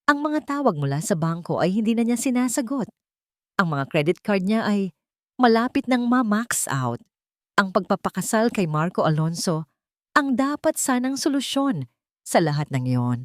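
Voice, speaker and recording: A woman with a Filipino accent narrating a romance story in a measured, dramatic storytelling manner. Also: medium pitch, very animated, dry recording, clean studio sound.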